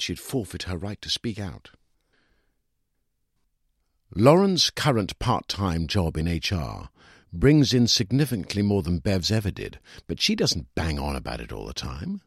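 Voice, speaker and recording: A man narrating a literary short story in the first person, with a dry, aggrieved, self-justifying and expressive delivery. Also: low-pitched, breathy edge, dry recording.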